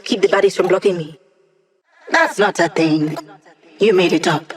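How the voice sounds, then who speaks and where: A woman's voice: on the low side, normal speed, natural voice. An actress voicing a cocky, sardonic young female hacker who lives as a hologram in a video game, with slangy, teasing delivery. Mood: wry. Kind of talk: video game character voice lines.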